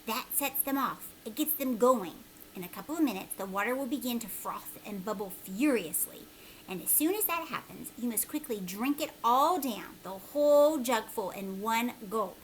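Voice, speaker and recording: A woman voicing a peculiar, secretive old man from a storybook, speaking with urgent emphasis and sometimes a hush. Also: fairly high pitch, lively intonation, moderate pace, slight background noise, natural voice, low-quality recording. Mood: eager, persuasive. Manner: urgent, emphatic, hushed at times.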